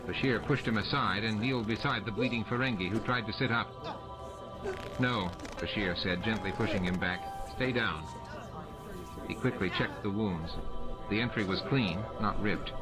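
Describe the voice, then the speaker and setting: A male audiobook narrator reads science fiction prose from a script. His voice sits fairly low, with clear music and sound effects running underneath. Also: low-quality recording.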